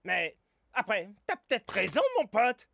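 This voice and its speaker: An actor voicing a cocky, scheming virus who leads a boisterous gang in an animated cartoon, taunting and bombastic. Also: mid-range voice, measured pace, creaky, very noisy. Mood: scheming, sly.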